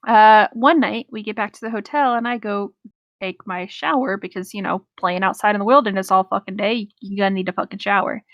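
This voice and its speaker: A woman's voice: medium pitch, brisk, no echo, muffled recording. A woman chatting casually and reading a story aloud, in an informal, rambling way.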